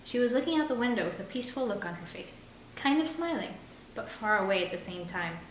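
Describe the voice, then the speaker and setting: A woman's voice: medium pitch, expressive, a little fast. A woman narrating a first-person novel aloud as an audiobook reader. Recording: roomy echo with faint background noise.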